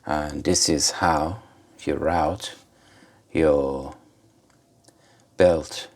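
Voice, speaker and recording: A man giving an informal hands-on commentary for a repair video, speaking haltingly in short phrases with pauses. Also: extremely low pitch, steady tone. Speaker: host of an electronics repair video.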